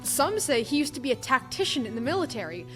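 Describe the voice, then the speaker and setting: Mocking girl narrator, high pitch, very animated, snide, dramatic, children's audio drama, soft music behind. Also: fast talker. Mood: mocking, gossipy. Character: mocking, theatrical girl storyteller, gleefully condescending.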